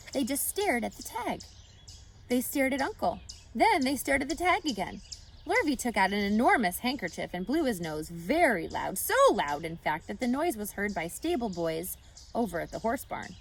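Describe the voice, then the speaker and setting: A woman reading a children's story aloud expressively, pausing to explain and ask questions like a teacher. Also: medium pitch, slight background noise. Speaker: storybook reader.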